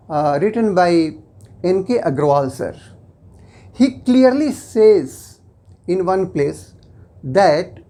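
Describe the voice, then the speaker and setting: Native Hindi speaker, English teacher, mellow tone, deliberate phrase-by-phrase explaining, mid pitch. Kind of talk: explanatory lesson.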